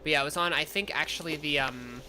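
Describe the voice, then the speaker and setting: A man streaming a game, chatting casually in a rambling, self-correcting way, with sudden loud outbursts at the game. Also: fairly high pitch, quick pace, noisy background. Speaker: vtuber streamer. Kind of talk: casual gameplay chat. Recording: game music playing behind.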